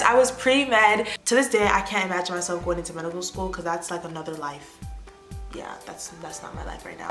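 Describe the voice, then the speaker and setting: A young American woman in her twenties chatting casually to the camera as an influencer, answering fan questions with animated bursts of excitement. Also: fairly low pitch, roomy sound. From United States. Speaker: lifestyle influencer. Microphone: phone or tablet camera.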